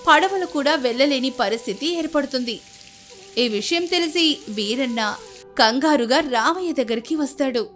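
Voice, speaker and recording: A woman's voice: high-pitched, animated, normal speed, a bit bright. A woman reading scripted folk-tale narration as the storyteller.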